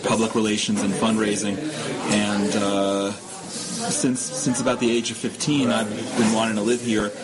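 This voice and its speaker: A man's voice: fairly low pitch, little pitch movement, measured pace, over loud music, poor audio. A middle-aged American man, a guest on a radio show, answering interview questions steadily with a few stumbles. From United States. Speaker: guest, nonprofit head. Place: restaurant. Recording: loud restaurant crowd noise. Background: loud restaurant crowd.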